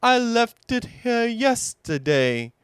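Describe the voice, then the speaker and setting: Man voicing a high-pitched, cheerful storybook bear. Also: sing-song, slow, a bit bright, clear, resonant tone, no room sound, clean studio sound, full character voice. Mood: earnest, cheerful. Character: cheerful, generous, simple-hearted male storybook bear.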